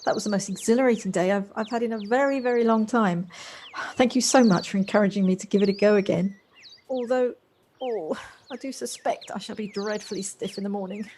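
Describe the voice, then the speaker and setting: A woman's voice: medium pitch, brisk, a little mellow, natural voice. A woman playing a polite, effusive, wistful character in an amateur audio drama. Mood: exhilarated. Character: Josie, wistful, polite, effusive woman rediscovering childlike freedom. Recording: faint noise, home-recorded on personal device.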